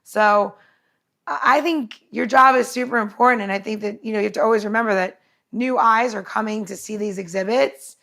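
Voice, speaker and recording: A woman's voice: a little high, fairly quick, vocal fry, pushed and bright. A woman co-hosting a podcast in casual chat, talking in an informal, meandering and enthusiastic way. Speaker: podcast co-host.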